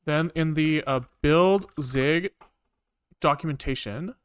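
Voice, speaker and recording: A man's voice: fairly high pitch, takes it slowly, clear, resonant tone. A man thinking aloud as he codes on a livestream, rambling casually, repeating words to himself and swearing offhandedly. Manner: casually profane. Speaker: programmer livestreaming coding.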